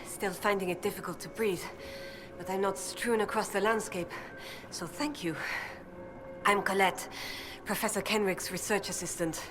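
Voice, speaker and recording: A woman's voice: mid-range voice, fairly even intonation, moderate pace, close to an everyday voice. A woman playing a polite, scholarly young research assistant in a video game, wry and courteous. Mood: wry, winded. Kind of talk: video game dialogue acting.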